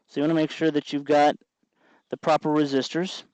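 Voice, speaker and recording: A man hosting an informal electronics tutorial, explaining things off the cuff in a halting way as he thinks aloud. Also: a little high, flat delivery, darkish tone, dry recording, poor audio. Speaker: host of an electronics tutorial show. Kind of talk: unscripted hands-on tutorial narration.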